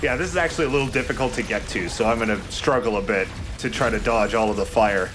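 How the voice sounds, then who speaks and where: A man's voice: fairly low pitch, fast, music in the background. A young man giving casual, explanatory video game commentary with some swearing. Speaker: let's play host and player.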